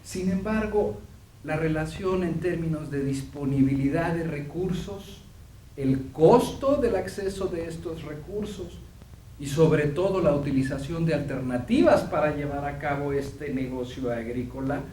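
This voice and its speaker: Casting: man, academic lecturer, measured with fillers, distant in a roomy hall. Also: a little high, mellow. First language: Spanish. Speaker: visiting professor. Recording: roomy hall, distant mic, faint hum.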